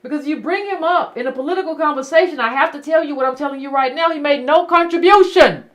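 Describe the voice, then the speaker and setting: A woman's voice: sits high, quick pace, slight background noise. A woman hosting a call-in show, talking bluntly and emphatically and repeating her points to callers. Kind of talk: casual call-in talk.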